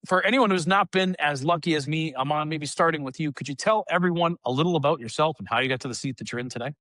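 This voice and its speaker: A man's voice: fairly high pitch, quick pace, bright, forceful tone, no echo. A man hosting an interview podcast, upbeat and chatty, praising his guests. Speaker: podcast host.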